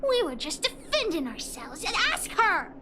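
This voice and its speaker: A woman's voice: very high voice, sing-song. A woman playing a proud, stubborn little girl in a video game, with a childlike way of talking. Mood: defiant. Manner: childlike, third-person self-reference, slow. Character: proud, stubborn little girl, self-styled gang boss. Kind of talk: dramatic game cutscene dialogue. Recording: noticeable background noise.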